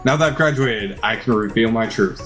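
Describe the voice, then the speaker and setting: Earnest alien robot game character voiced by a man, solemn and halting, music underneath. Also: on the low side, a bit bright, natural voice. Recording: music behind the voice.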